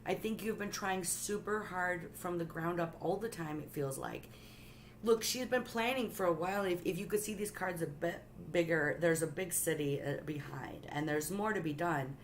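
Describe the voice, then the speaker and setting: A woman hosting a live tarot stream, talking chattily to her viewers and reading cards gently, holding back her loud voice because it is strained after coughing. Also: on the low side, moderate pace, a little hiss or hum. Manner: says she has a loud voice but keeps it soft.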